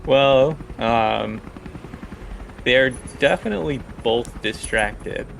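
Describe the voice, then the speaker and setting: A man works as game master for a tabletop game. His voice has a lot of creak in it, and he improvises in a conversational, halting manner. There is some noise behind him on the recording. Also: mid-range voice, fairly bright tone.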